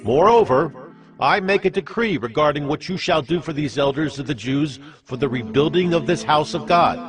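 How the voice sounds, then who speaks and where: A man's voice: a little high, expressive, moderate pace, close to an everyday voice. A man playing a commanding Persian king, proclaiming a decree in a stately, imperious way in a dramatized Bible reading. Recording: music bed under the voice.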